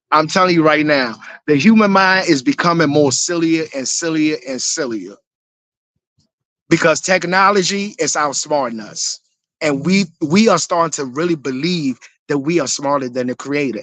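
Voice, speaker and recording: A man's voice: on the high side, a bit bright, dry recording. A man from Ghana speaks in a slightly raised voice. He is an earnest, preachy panelist who circles back to the same points. His audio is close and dry, with no room sound, as he talks over a video call. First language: Twi. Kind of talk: casual talk show discussion. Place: home room, remote.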